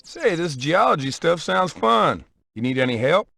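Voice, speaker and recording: A man's voice: a little high, big pitch swings, moderate pace, vocal fry, a bit bright, dry recording, clean studio sound, heavily acted. An actor playing a cheerful, laid-back young good old boy with a Southern US accent in a video game. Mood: easygoing. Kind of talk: game cutscene acting.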